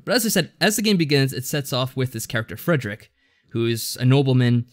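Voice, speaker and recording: A man's voice: mid-range voice, sing-song, quick pace. A man co-hosting a podcast, recapping things in a casual, hesitant, rambling way. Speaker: podcast co-host. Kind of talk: casual discussion recapping a visual novel.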